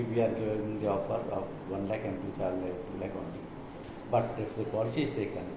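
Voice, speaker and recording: Echoey, low-voiced Indian man presenting. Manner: didactic, reading points then explaining them. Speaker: insurance trainer. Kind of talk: explanatory product presentation. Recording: echoey room with clear background noise.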